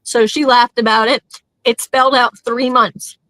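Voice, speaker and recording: Bright, high-voiced woman recounting. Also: level tone, a little slow, dry recording, poor audio. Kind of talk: casual chat.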